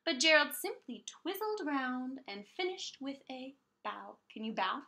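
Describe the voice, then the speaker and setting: A woman preschool teacher reading a picture book aloud in an expressive, encouraging way, pausing to explain things simply and ask young children questions. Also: high-pitched.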